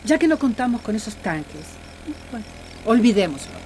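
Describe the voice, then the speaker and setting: A woman acting an indignant, animated village woman. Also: a little high, normal speed, slight background noise. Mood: exasperated. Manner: sarcastic, confrontational, ranting. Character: angry, fed-up village woman railing about drought. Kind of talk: radio drama acting.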